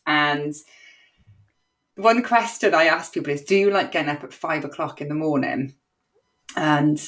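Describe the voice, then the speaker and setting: Chatty Welsh woman with a Cardiff accent. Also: sits low. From Wales. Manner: chatty, lively, laughs often, many fillers. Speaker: podcast co-host. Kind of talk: casual storytelling chat.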